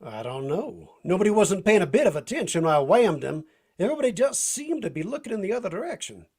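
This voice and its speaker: An actor playing a folksy, easygoing cowboy sidekick with a Southern US drawl and husky voice, in a vintage radio drama. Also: male voice, high-pitched, sing-song, fast, darkish tone, exaggerated voice. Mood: breezy, boastful. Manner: drawling folksy slang with chuckles. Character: folksy, easygoing Texan-style cowboy sidekick, tough but playful.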